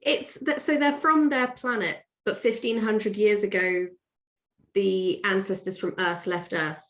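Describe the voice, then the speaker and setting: A woman's voice: mid-range voice, steady tone, unhurried, a bit bright. A woman, chatting casually as a podcast guest and explaining things. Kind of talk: casual interview chat, explaining. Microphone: own microphone on remote call. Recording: roomy, echoey sound.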